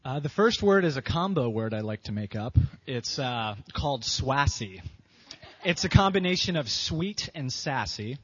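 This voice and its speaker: A man from Pennsylvania answering interview questions about his wife in a relaxed, halting way, with many fillers. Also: medium pitch, husky. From Pennsylvania, USA. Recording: dull lo-fi sound.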